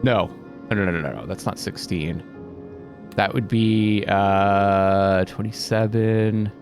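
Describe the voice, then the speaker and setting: A man's voice: fairly low pitch, steady tone, slow, a little mellow. A man giving casual gaming commentary, thinking aloud, questioning himself and reading out bits of on-screen text. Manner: musing, often questioning himself. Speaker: let's play host. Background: game music.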